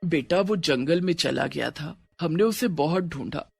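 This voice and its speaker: Man playing tender village mother, high-pitched. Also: expressive, measured pace, gravelly, breathy edge, exaggerated voice. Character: affectionate worried middle-aged village mother.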